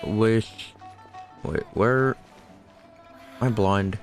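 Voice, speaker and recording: A man's voice: fairly low pitch, drawn-out words, faint music behind. A man giving casual let's-play commentary as a game streamer, offhand and slangy.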